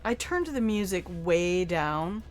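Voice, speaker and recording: Older woman, low chatty voice. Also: very animated, takes it slowly, soft background music. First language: Afrikaans. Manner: chatty, rambling asides, reacts aloud to the game. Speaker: gaming streamer. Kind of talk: casual gameplay commentary.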